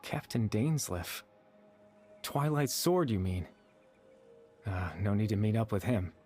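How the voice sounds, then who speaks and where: An actor playing a gentle, sorrowful young man in a video game, speaking softly and hesitantly with wistful remorse. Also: fairly low pitch, lively intonation, takes it slowly. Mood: calm, explaining. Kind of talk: dramatic video game dialogue.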